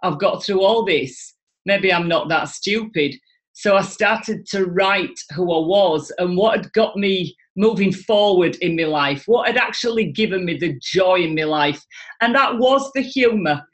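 A middle-aged woman with a Yorkshire accent, speaking as a guest in an enthusiastic, expressive way over a video call from home. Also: on the low side, a little slow. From Yorkshire, England. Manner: enthusiastic, expressive, gestures a lot. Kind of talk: animated motivational talk. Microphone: video call webcam.

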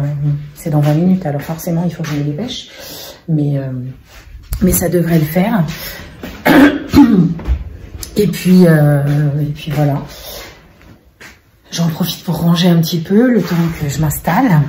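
A woman in her thirties chatting casually into her phone at home for a daily family vlog, rambling with many fillers, her voice a little hoarse. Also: fairly low pitch, noisy background. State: slightly hoarse. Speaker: vlogger, single mother of five. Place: home, living room and kitchen, indoors. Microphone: phone held at arm's length.

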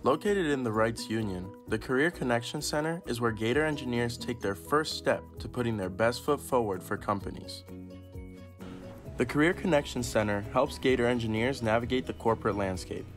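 A young man, a student ambassador, hosting an energetic campus tour outdoors and interviewing fellow students. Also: medium pitch, normal speed, music in the background. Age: young adult. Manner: energetic, enthusiastic.

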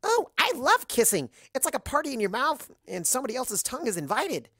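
A bouncy young storybook mare, played by a narrator, pitched up high. The delivery is chirpy and scatterbrained, cheerfully oblivious, with a dry close sound. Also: sing-song, a little fast, pushed and bright, dry recording, exaggerated voice. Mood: gleeful. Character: bubbly, scatterbrained, hyperactive young mare pony.

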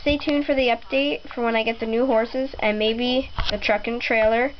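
A young girl giving a rambling tour of her toy horse barn at home, with many ums and pauses. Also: fairly high pitch, fairly even intonation, noisy background. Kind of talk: casual home video narration. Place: bedroom at home. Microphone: handheld camera mic. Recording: slightly dull lo-fi home camera audio.

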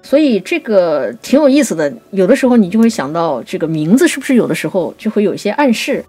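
A woman co-hosting a poetry programme, explaining classical literature conversationally and slowing down to recite quotations. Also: medium pitch, big pitch swings, mellow, slightly rough, faint music behind. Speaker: writer and co-host of a poetry programme.

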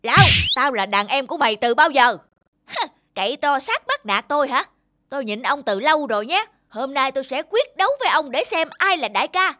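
A man's voice: extremely high pitch, very animated, fairly quick, bright, forceful tone, full character voice. A man voicing a resentful, rebellious stain henchman in a cartoon, indignant and combative. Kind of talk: cartoon villain acting.

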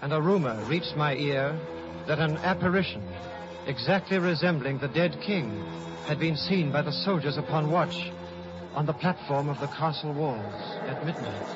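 An actor playing an earnest, loyal scholar in measured, solemn 1950s radio Shakespeare. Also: male voice, on the high side, over music, close to an everyday voice. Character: Horatio, earnest loyal scholar recounting a tragedy. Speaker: narrator. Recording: muffled 1950s radio broadcast.

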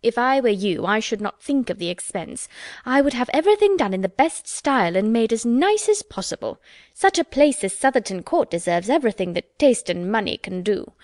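A woman voicing an officious, self-important older widow who talks insistently and loudly. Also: a little high, expressive, fairly quick, no echo, clean studio sound, natural voice. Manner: insistent, loud, fussy.

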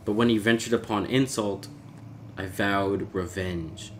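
A man giving a measured, formal, brooding dramatic reading of a classic horror story as its narrator. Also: lowish voice. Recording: clear background noise, ambience.

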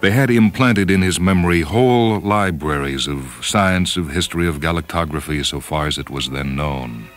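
Very deep-voiced man narrating over music. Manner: measured, solemn, declamatory. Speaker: host and story reader of a radio program. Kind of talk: dramatic scripted storytelling narration. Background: orchestral and piano music.

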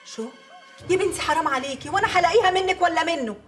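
A woman's voice: very high voice, very fast, soft background music. A woman playing an exasperated, scheming Egyptian mother and wife in a TV comedy-drama, with a dramatic, complaining manner. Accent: Egyptian Arabic. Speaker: actress. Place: furnished home interior, film set.

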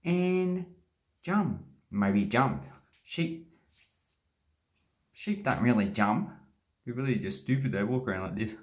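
A man's voice: medium pitch, expressive, takes it slowly, long pauses. A man in his forties with an Australian accent, cheekily explaining slang into a phone held close in a small bathroom. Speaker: slang vlogger. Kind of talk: casual explainer vlog. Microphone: phone camera held close.

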